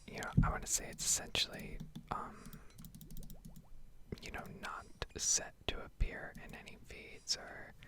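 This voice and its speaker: A woman whispering and speaking very softly in a hesitant, unhurried ASMR ramble, recorded at home on a desktop microphone. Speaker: streamer making ASMR gaming videos. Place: indoor home setup. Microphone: Blue Yeti desktop microphone.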